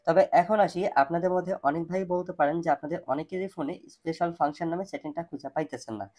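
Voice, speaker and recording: Fast-talking man, mellow tutorial voice. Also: fairly high pitch. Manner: instructional, step-by-step, repetitive. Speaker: tech tutorial host. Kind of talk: phone tutorial narration.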